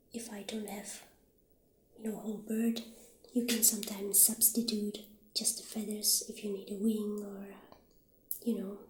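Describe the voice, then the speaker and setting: A woman playing a friendly witch shopkeeper in a soft-spoken ASMR roleplay, half-whispering gently with hesitant pauses. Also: mid-range voice, roomy sound, a little hiss or hum, lightly acted, rough recording. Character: friendly witch shopkeeper showing off potion ingredients.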